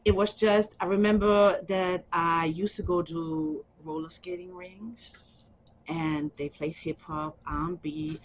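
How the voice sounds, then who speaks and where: A middle-aged woman from Germany with a German accent, speaking softly and haltingly with small laughs in an oral history interview. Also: lowish voice, fairly even intonation. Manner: soft, halting, with frequent ums and small laughs.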